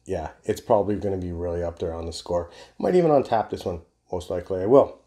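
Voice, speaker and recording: A man's voice: deep voice, lively intonation, fast, dark tone. A man in his forties chatting casually to camera as a reviewer, enthusiastic and rambling, with casual swearing. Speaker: beer reviewer, video host. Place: indoor home bar room.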